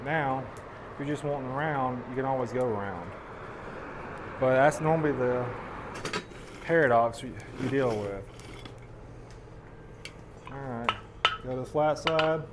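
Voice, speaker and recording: Hoarse man, blacksmith demonstrator, folksy step-by-step teaching, loud hammer clanging. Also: medium pitch, a little slow, pauses often, background noise. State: hoarse, losing his voice.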